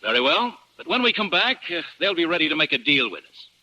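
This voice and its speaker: Radio Western officer: self-assured cavalry colonel, very bright, animated, brisk authority. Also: male voice, on the high side, a little hiss or hum, heavily acted, rough recording. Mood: commanding. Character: confident, scheming male cavalry colonel, hearty and commanding. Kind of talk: 1950s radio drama acting.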